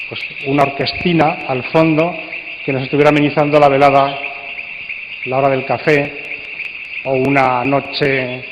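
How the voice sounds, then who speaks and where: A man with an accent from Spain gives a live, interactive talk to an audience, with measured, playfully theatrical phrasing. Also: medium pitch. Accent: Spanish from Spain. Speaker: speaker and coordinator at a radio drama congress. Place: large indoor congress hall. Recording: dull, echoey hall recording with faint noise.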